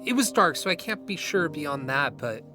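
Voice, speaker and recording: An actor playing a shaken, suspicious man in an audio drama, speaking haltingly and with exasperation. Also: on the high side, sing-song, music in the background. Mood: shaken, uncertain. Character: Greg, shaken, suspicious man unnerved by strange events.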